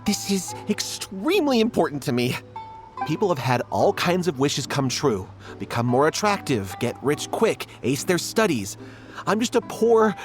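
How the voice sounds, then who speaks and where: A fretful struggling writer. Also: male voice, a little high. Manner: fretful, stumbling over words. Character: anxious struggling young male writer, earnest and fretful. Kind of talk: game voice acting. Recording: game audio with music behind.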